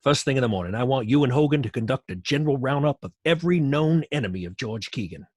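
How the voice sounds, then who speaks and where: An actor playing a bossy, irritable middle-aged police commissioner in an old-time radio crime drama, brusque and commanding. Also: male voice, medium pitch, expressive, fast, darkish tone, slightly rough, no room sound, clean studio sound. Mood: brisk, certain.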